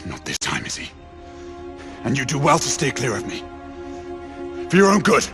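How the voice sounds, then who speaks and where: Brooding knight, dramatically varied delivery. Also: male voice, medium pitch, wildly swooping pitch, moderate pace, over music. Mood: terse, defensive. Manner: formal, guarded, intense. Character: stern, brooding knight sworn to protect the realm. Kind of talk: dramatic video game acting.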